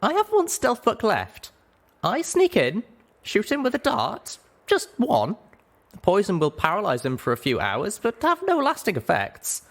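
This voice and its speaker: A man's voice: sits high, huge pitch swings, unhurried, vocal fry, pitched above the performer's own voice, exaggerated voice. A man voicing a young female pony, an earnest, stubborn and anxious heroine, for a storybook reading. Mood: earnest planning. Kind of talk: audiobook character voice.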